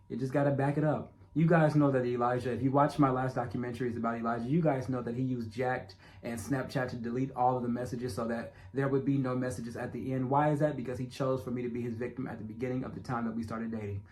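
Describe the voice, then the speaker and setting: A man's voice: mid-range voice, steady tone, fast talker, hardly any pauses, darkish tone. A man with a stutter and a noticeable speech impediment narrates his own documentary. He speaks in a loose, conversational way, addressing viewers directly. He repeats lines for emphasis. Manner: repetitive, emphatic, talks straight to viewers. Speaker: self-made documentary narrator. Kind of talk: casual direct-address monologue.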